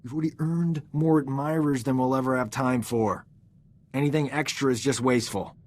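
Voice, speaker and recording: An actor playing a cocky, flirtatious medic in a video game, smooth-talking and boastful, with a theatrical way of talking. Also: male voice, mid-range voice, fairly even intonation, a little fast, close to an everyday voice. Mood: exasperated, pleading. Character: cocky, flirtatious male medic, self-promoting charming rogue. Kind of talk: video game character dialogue.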